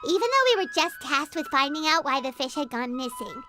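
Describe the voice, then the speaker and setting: A woman voicing a tiny, excitable, chatty fairy girl with a squeaky childlike voice in a video game cutscene. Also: brisk, loud music behind the voice. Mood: eager, confident. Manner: excitable, exclaiming, speaks of herself in third person.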